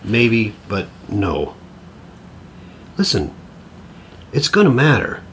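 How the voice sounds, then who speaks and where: An actor playing an awkward, earnest middle-aged divorced man in an audio drama, halting and stammering, eager to please. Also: lowish voice, wildly swooping pitch, a bit bright, slight background noise, full character voice. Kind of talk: naturalistic audio drama acting.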